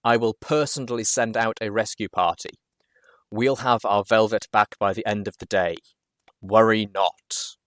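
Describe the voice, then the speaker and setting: Man as a booming, commanding pony mare leader. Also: steady tone, unhurried, no echo, studio quality, natural voice. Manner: booming, authoritative.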